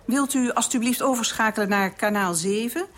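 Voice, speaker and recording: An actress playing a sceptical, businesslike air traffic controller in a radio drama, speaking in a crisp, formal way. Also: female voice, on the high side, moderate pace, close to an everyday voice. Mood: brisk, official.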